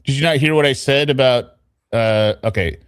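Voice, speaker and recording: A man's voice: mid-range voice, normal speed, smooth, no echo, studio quality. A man hosting a recap show, commenting casually and animatedly and sometimes mimicking the people he quotes. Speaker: host of a trial recap show.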